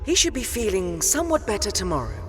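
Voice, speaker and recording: A woman playing a possessive, smitten and imperious female dusk elf vampire in a dark fantasy audio drama, theatrical and lingering over her words. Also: mid-range voice, big pitch swings, fast, soft background music, lightly acted. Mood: smitten, intimate.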